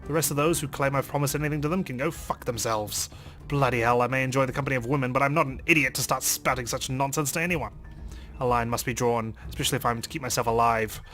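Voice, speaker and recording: Male let's play reader, mid-pitched, rapid, theatrical storytelling with faint game music behind. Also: fairly bright tone. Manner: theatrical, reading in character. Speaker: let's play host reading game text. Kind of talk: dramatic reading of visual novel narration.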